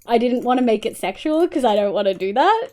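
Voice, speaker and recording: A young Australian woman with a congested, nasal voice, chatting casually to her stream audience. Her voice sits high and swings widely in pitch as she explains things and hums to herself. She talks in a chatty, easygoing way. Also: sing-song, fairly quick, darkish tone. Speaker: livestreamer. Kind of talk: casual livestream chat and walkthrough.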